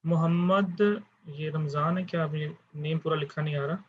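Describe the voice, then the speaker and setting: Calm fatherly male lecturer on a call. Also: fairly high pitch, normal speed, a little hiss or hum. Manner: calm, fatherly, addresses students as beta. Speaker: university lecturer. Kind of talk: online class roll call. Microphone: online class call. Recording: dull lo-fi online call audio.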